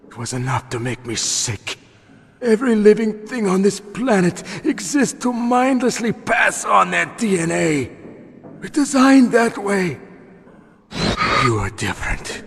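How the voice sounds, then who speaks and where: Dying masked psychic villain: bitter, sinister, very slow theatrical delivery, drenched in long reverb, for a game. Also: male voice, high-pitched, creaky, a bit bright, slightly rough, exaggerated voice. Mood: bitter contempt. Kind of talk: dramatic video game acting. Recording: noisy, heavily reverberant game audio.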